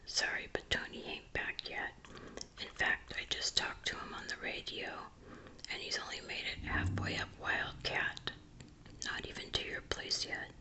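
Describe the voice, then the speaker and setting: A woman's voice: a little slow, natural voice, poor audio. A woman reading a storybook in a whisper, voicing a folksy, teasing, matronly small-town postmaster. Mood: folksy, helpful.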